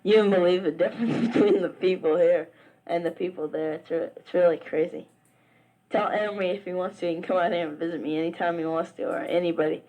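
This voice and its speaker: A man's voice: high voice, steady tone, fast, mellow, low-quality recording. A teenage boy from Virginia with a Southern accent, chatting rambly to a friend back home in a spoken letter, full of "uh" and restarts. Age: teens. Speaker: high school wrestler.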